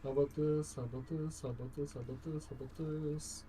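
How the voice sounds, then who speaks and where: A man's voice: steady tone, fast talker, mellow, low-quality recording. Guy co-hosting a game stream on voice chat, casual, swears a lot, repeats stuff, background noise. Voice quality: low baritone voice. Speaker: co-host of a game livestream. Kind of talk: casual banter over gameplay. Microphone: online voice call.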